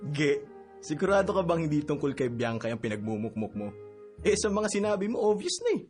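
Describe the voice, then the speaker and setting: A man's voice: on the high side, sing-song, fairly quick, a little mellow, faint music behind. A man acting as a caring, teasing older brother in a Filipino radio drama, speaking casually and coaxingly. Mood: concerned, probing.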